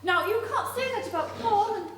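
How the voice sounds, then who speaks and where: A woman's voice: very high voice, normal speed, fairly bright tone. A woman playing a spirited, sweet young heiress in a staged radio play. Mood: earnest. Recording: echoey hall, distant mics, faint noise.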